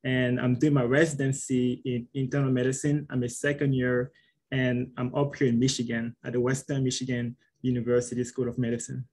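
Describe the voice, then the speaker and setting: A young man with a mid-pitched voice hosts a casual webinar over a video call. He talks in a relaxed, friendly way and leans on fillers as he moves between speakers. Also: fairly quick, slightly rough. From Cameroon, raised in Baltimore. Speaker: webinar host, medical resident.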